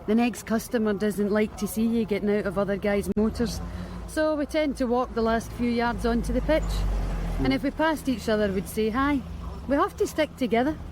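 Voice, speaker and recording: A woman's voice: fairly high pitch, moderate pace, background noise, lightly acted. A woman playing a wry Scottish street worker. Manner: blunt, wry, matter-of-fact. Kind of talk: BBC radio drama acting.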